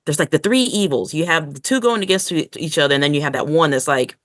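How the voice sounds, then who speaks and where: A woman co-hosting a podcast in casual review chat, telling things in an expressive, story-like way. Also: sits low, fast talker, no echo, clean studio sound. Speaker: podcast co-host.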